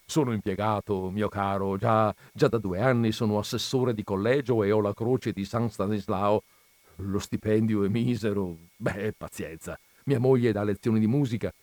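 A man's voice: lowish voice, normal speed, a little mellow, no echo, natural voice. A man voicing a thin, chattering old school friend in a short story reading, gushing and repeating himself. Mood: earnest, chatty.

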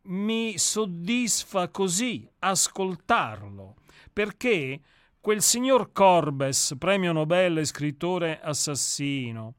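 Man, fairly high voice, literary reading, theatrical deliberate delivery, smooth tone, dry recording. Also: animated, no echo. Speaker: co-host of a literary radio discussion. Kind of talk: expressive reading and literary commentary.

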